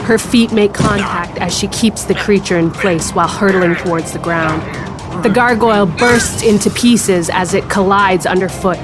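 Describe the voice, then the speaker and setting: A woman's voice: mid-range voice, moderate pace. A woman reading scripted fantasy narration for an audio drama. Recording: prominent music bed behind narration. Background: music.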